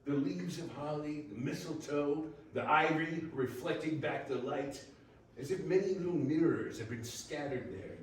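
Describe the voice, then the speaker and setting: A man narrating a scripted story for readers theater in a dramatic, expressive way. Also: fairly high pitch, moderate pace. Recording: very echoey distant room, faint noise.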